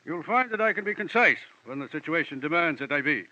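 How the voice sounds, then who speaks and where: A man's voice: fairly high pitch, lively intonation, quick pace, bright, forceful tone. An actor playing a pudgy, pompous criminal middleman who speaks in florid, formal phrases in a 1940s radio drama. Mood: pompous, silky. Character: pudgy, pompous older male criminal middleman, smooth negotiator. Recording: dull 1940s radio recording.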